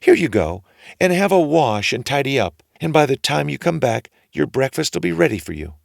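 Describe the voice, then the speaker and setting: A man voicing a great big ogre's wife in a storybook, high-pitched, exclaiming, brusque yet motherly. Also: sing-song, moderate pace, creaky, no room sound, studio quality. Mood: soothing, fussing.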